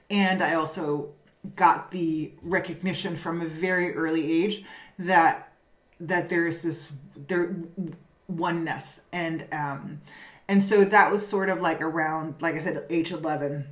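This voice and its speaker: A woman from Denmark, a spiritual business coach, telling a personal story in a reflective, halting way with many restarts. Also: lowish voice. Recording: roomy, echoey room.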